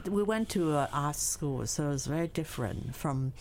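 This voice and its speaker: Low-voiced woman. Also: expressive, darkish tone, a little hiss or hum. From Hong Kong. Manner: hesitant, halting speech with many fillers. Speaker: documentary filmmaker and teacher.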